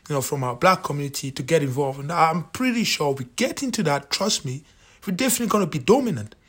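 A man from Cameroon with a Cameroonian accent, talking casually through a countdown list, animated and full of fillers and restarts. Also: medium pitch, creaky. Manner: animated, repeats himself, many fillers. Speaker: vlogger.

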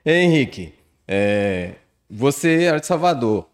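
A man's voice: medium pitch, sing-song, takes it slowly. A man who hosts a podcast and talks in a chatty, joking way. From western Bahia, Brazil. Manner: jokey, chatty, laughs often. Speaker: podcast host. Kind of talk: casual podcast hosting.

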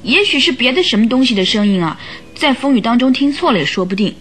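A woman's voice: on the high side, animated, fast, a bit bright, faint music behind. An actress in a radio drama playing an earnest, troubled young woman who gives careful, emotive accounts. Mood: earnest, recalling. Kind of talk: old Chinese radio drama acting.

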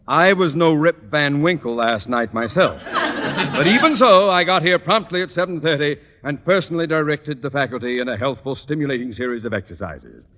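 An actor playing a pompous, blustering middle-aged school principal in old radio comedy style, sarcastic and theatrical. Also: male voice, on the high side, animated, moderate pace, lightly acted. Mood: pompous, boastful. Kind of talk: 1940s-50s radio comedy acting. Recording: muffled old-time radio recording.

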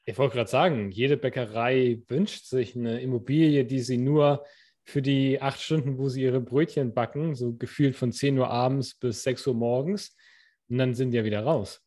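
A man co-hosts a laid-back podcast recorded at home where the kitchen opens into the living room. He trades quick, playful banter with his partner. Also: medium pitch, fairly even intonation, dark tone. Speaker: podcast co-host. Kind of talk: casual chat.

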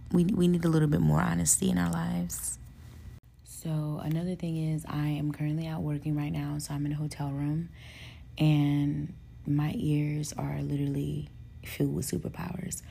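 A young woman hosting her podcast from a hotel room, hoarse with a soft raspy voice, chatting casually and laughing now and then. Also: sits low. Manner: relaxed and chatty, laughs often, slangy asides. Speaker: podcast host. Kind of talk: casual solo podcast chat.

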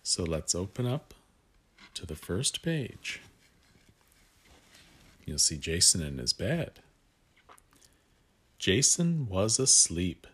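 Deep, unhurried man reading a picture book. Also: sing-song, husky. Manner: gentle guiding asides to the child listener. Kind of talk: read-aloud storytelling for young children.

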